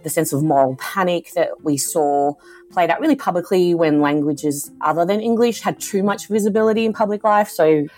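A woman, an academic philosopher, giving thoughtful and articulate interview answers at an unhurried pace. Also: lowish voice, darkish tone.

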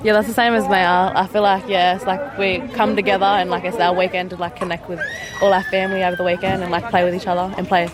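A woman's voice: fairly low pitch, level tone, fast talker, hardly any pauses. A woman footy player chatting casually with lots of "like" in a vox pop interview.

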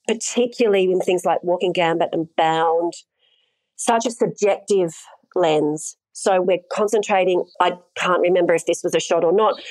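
A woman, an intimacy coordinator explaining her work in a measured, thoughtful way and often posing questions to herself. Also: medium pitch, a little mellow, no room sound, low-quality recording. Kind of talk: conversational interview answers.

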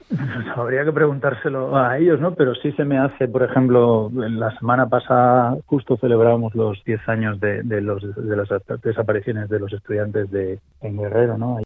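Spanish guy, lowish husky voice, stop-start thinking, dry tinny call audio. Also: dry recording. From Spain. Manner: thoughtful, restarts often. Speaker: guest commentator. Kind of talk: casual interview talk. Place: remote call from abroad. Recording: phone-like remote line.

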